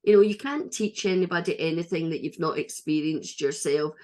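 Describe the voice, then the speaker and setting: Chatty Scottish woman host, lowish voice. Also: fairly quick, a little mellow. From Scotland. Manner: chatty, restarts often, earnest. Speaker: podcast host. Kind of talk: casual interview chat.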